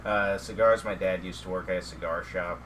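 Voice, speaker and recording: A man tells stories in a slow, easy chat, dropping in dry jokes. His voice sits very low. Clear background noise runs under everything he says. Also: steady tone, a bit bright, far from the mic. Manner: dry joking, storytelling with fillers. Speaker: podcast co-host. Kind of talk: casual chat.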